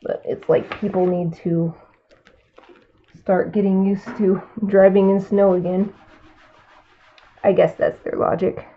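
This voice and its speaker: A woman's voice: mid-range voice, level tone, takes it slowly, long pauses, slight background noise. A woman with a Californian accent, an artist chatting casually while she paints live, musing aloud and murmuring to herself between remarks. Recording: tinny phone-like sound.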